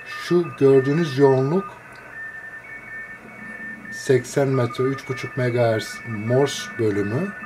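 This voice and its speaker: Male hobbyist demonstrator, casual and explanatory, hesitant phrasing with pauses, medium pitch, sound playing behind the voice. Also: music in the background, poor audio. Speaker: amateur radio hobbyist demonstrating a receiver. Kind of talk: casual unscripted screen walkthrough. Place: indoors, filming a computer screen.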